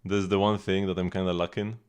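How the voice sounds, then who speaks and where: A man's voice: deep voice, level tone, fast talker, clean tone. A man live streaming a video game, chatting casually and informally as he rambles along and answers viewers. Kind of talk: casual gaming stream chat. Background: game music.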